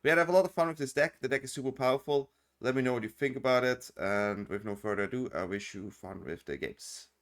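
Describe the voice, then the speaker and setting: A man's voice: medium pitch, fast talker, hardly any pauses. A man with an accent that is hard to place, casually talking through a card game video as its host, loose and full of fillers. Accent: unplaced non-native accent. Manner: chatty, loose, with many fillers and restarts. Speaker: content creator and streamer. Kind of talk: casual gameplay commentary.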